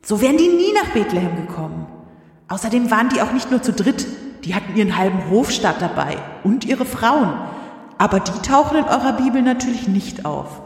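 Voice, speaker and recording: A woman's voice: medium pitch, big pitch swings, fairly quick. A woman playing God in an audio drama as an indignant, down-to-earth lady who talks in a colloquial, sardonic, grumbling way. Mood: indignant, matter-of-fact. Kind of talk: audio drama acting, conversational monologue. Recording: echoey hall with long reverb.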